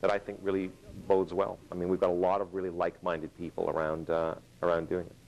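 A man's voice: low voice, completely flat delivery, fast talker, vocal fry, muffled recording, a little hiss or hum. A man who is an artistic producer answering interview questions in a casual, enthusiastic way.

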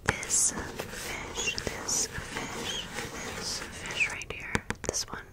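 Female ASMR creator, fully whispered and slow, breathy, casual, slangy and gushing, with deliberately repeated phrases. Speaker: ASMR creator presenting a doll haul.

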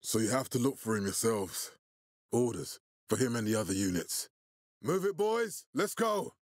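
A man voicing a grave, calculating militia commander in a video game, with a husky, authoritative and deliberate delivery. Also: medium pitch, very animated, dry recording, studio quality. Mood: controlled, serious, then urgent, agitated. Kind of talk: video game character dialogue.

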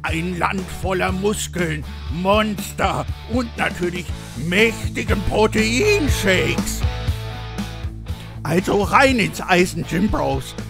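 A man puts on a muscle-bound gym bro with a high, gravelly voice. He stretches his words out grandly as a theatrical hype man, with guitar music behind him. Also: animated, slow, exaggerated voice. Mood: hyped, grandiose. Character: hyped muscle-bound male gym bro fitness influencer. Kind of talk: theatrical promotional intro.

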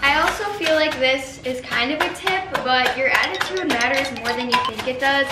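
A woman's voice: sits high, heavy background noise. A teenage girl chatting casually as she walks through a baking tutorial in her home kitchen, explaining each step as she works. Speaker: baking vlogger. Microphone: far camera on the counter. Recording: roomy kitchen echo, distant mic, music behind.

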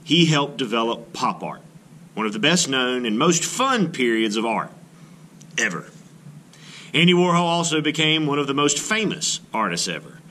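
A man reading a children's book aloud as an art teacher, with expressive, emphatic delivery. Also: medium pitch, normal speed, a little hiss or hum.

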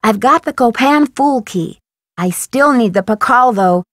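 A woman playing a friendly, earnest, inquisitive young female detective in an adventure video game, coaxing and questioning with clear diction. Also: a little high, expressive, measured pace, no echo, clean studio sound. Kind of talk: scripted adventure game dialogue.

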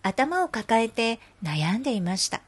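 A woman's voice: on the high side, sing-song, a little slow, slightly rough. A woman reading scripted narration for an educational storytelling and explainer video. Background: music.

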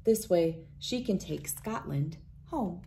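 A woman in her thirties reading a children's picture book aloud in a deliberate, expressive storytelling manner. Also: lowish voice, gravelly, slight background noise. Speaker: read-aloud host. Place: indoors, wood-panelled room with large windows.

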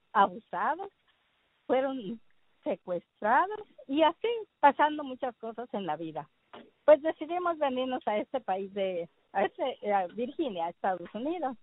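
A woman's voice: on the high side, dry recording. A middle-aged woman from Jalisco, Mexico, telling her story by phone in a hesitant way with many fillers. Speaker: caller giving testimony. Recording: dry, tinny phone line.

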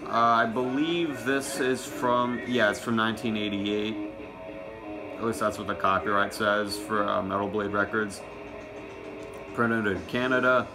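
Low-voiced young man vlogging casually. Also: fairly even intonation, measured pace, music in the background. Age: teens to early twenties. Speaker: music collection vlogger. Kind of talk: casual show-and-tell to camera. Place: small home room.

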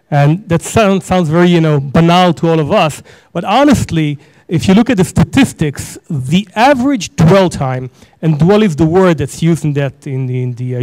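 A man's voice: on the high side, a little mellow, no echo, rough recording. Hesitant man speaking on a panel. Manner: hesitant, many fillers and restarts. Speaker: panelist lawyer. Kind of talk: conference panel talk. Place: conference stage before an audience. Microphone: handheld microphone.

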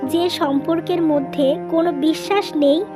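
Woman acting very high-pitched wronged young wife. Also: steady tone, moderate pace, over music, natural voice. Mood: wounded, resolute. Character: wronged, wounded young wife, proud and firm. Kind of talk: dubbed cartoon drama dialogue.

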